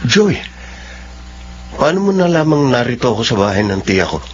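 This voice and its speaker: An actor playing a confused, sulking young boyfriend in a radio drama. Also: male voice, mid-range voice, very animated, moderate pace, breathy edge. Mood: hesitant. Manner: sulky, hesitant. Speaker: Johnny, the heroine's boyfriend. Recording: muffled lo-fi audio with faint hum.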